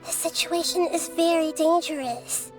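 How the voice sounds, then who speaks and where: Little girl deity, very high voice, wise and firm, earnest, articulate, video game dialogue, faint music behind. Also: measured pace. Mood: calm, serious. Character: young girl god, wise, gentle but firm. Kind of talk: scripted video game acting.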